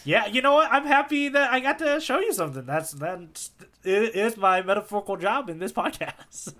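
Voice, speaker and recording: Bright-voiced, higher-pitched man explaining excitedly. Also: moderate pace. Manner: animated explainer who often checks with "right?". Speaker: podcast co-host explaining a manga fight. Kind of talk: casual conversational explanation.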